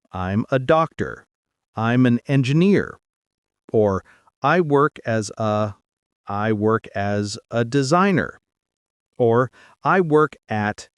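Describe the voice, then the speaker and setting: Male podcast host teaching English: slow, clear, upbeat, lively pitch, dry close mic. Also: no room sound. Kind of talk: scripted English lesson.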